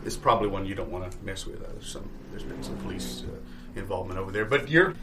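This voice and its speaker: A man playing an evasive, sales-hungry landlord in an improvised comedy sketch, with halting, stammering salesman patter full of euphemisms. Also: deep voice, very animated, background noise. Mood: wary, discouraging. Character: evasive, sales-hungry male landlord showing shabby rental bungalow.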